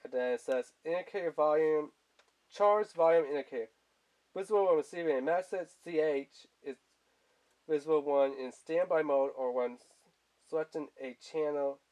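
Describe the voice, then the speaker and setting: A man's voice: fairly high pitch, normal speed, a little mellow, poor audio. A man giving a casual, rambling product review in informal, colloquial language, speaking off screen indoors. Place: indoors at a tabletop.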